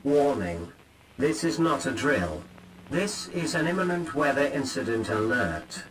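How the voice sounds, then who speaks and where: A man with a mid-range voice reads an emergency weather alert. His delivery is calm, clipped and official. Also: mid-range voice, unhurried, noisy background. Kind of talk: formal emergency alert reading.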